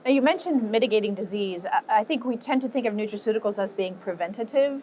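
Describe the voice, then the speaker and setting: A woman interviewer asking brisk, attentive questions and giving short acknowledgements. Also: mid-range voice, animated, warm tone. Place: trade show. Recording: faint ambient noise.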